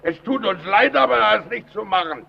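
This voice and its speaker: An actor playing a gruff, suspicious lighthouse keeper in a German radio drama, brusque, insistent and sarcastic. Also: male voice, sits high, lively intonation, fairly quick, a crowd in the background, heavily acted. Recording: dull old radio recording, long reverb.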